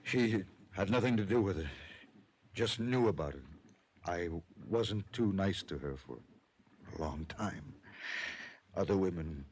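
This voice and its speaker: An actor playing a weary, wounded businessman, speaking weakly and haltingly with remorse in a 1950s radio drama. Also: sits low, animated, a little mellow, a little hiss or hum. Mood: weak, remorseful. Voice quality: husky, weak. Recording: old-time radio recording.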